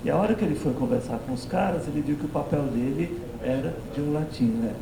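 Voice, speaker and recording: A man's voice: fairly high pitch, lively intonation, fast talker. Man, audience question, hesitant, echoey noisy room. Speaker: audience member asking a question. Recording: echoey room, clear noise.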